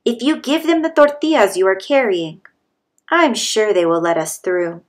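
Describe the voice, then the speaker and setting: A woman's voice: medium pitch, lively intonation, moderate pace, lightly acted. A woman voicing a sly, greedy male coyote in a children's storybook, wheedling and demanding. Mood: coaxing. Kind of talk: children's storybook read-aloud.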